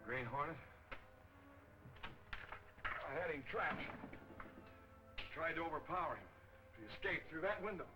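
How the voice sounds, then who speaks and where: A man's voice: on the high side, lively intonation, a little slow, long pauses, poor audio. An actor playing a composed newspaperman with a secret masked identity in a 1940s film serial. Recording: old film soundtrack with loud music.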